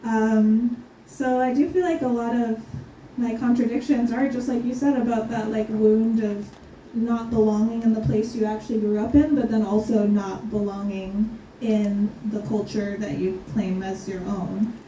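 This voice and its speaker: A woman speaking thoughtfully on a panel, pausing often with ums and light laughs. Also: on the high side, fairly even intonation, mellow, slight background noise. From United States. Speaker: scholar guest. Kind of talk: panel conversation. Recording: roomy, distant, slightly dull.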